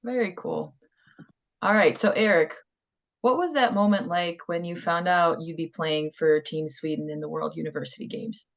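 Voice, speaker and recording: A woman's voice: lowish voice, normal speed. A woman hosting a college sports interview, asking questions in an upbeat, friendly way. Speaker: interviewer host.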